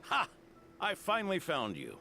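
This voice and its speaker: An actor playing a kindly, brave old grandfather knight in a video game, with a gentle, reassuring, protective manner. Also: high-pitched, huge pitch swings, normal speed, creaky, faint music behind, exaggerated voice. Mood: fond, gently chiding. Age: older.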